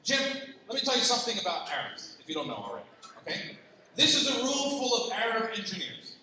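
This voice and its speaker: A Palestinian man doing a lively stand-up set, teasing the crowd and jumping up in pitch when excited. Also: fast talker. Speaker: stand-up comedian. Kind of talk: stand-up comedy set at a gala. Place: large banquet hall. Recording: far-off mic in an echoey banquet hall with noise.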